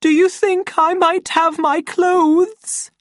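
A woman playing an emotional young woman, very high-pitched. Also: wildly swooping pitch, extremely slow, darkish tone, no echo, studio quality, exaggerated voice. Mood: frightened. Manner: breathy coaxing turning to shrill pleading. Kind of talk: dramatised audiobook reading.

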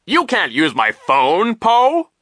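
A petulant, pompous school official from a storybook, put on by a man. He scolds in a high, sharp, declamatory voice, recorded dry. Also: huge pitch swings, slow pace, no echo, heavily acted. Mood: indignant. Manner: accusing, declamatory.

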